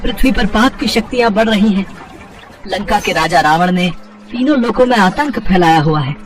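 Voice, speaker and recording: A man playing a desperate god who pleads with urgent, shrill declamation in a mythological story dramatization. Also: lively intonation, moderate pace, far from the mic. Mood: frantic pleading. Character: desperate god pleading against a demon king's terror.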